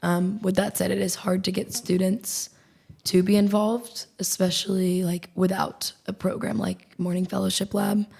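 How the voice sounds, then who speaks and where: A woman's voice: medium pitch, normal speed, gravelly, slightly rough. A young woman from the United States chatting casually as a podcast guest, with "like" and "um" as fillers. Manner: relaxed, uses "like" and "um". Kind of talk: casual discussion.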